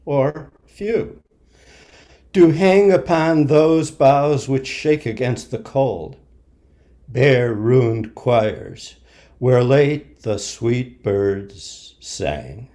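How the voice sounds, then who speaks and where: A man reciting classic verse for a congregation in a solemn, measured manner. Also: mid-range voice, lively intonation, warm tone. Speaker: poetry reader at a congregation service.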